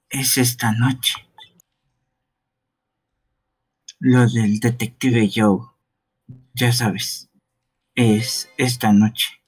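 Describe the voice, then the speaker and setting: A man playing a grave, reluctant detective in a game, speaking Castilian. The delivery is slow, husky and sombre, with halting phrases. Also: mid-range voice, poor audio. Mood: regretful. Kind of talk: live fan dubbing of a visual novel game.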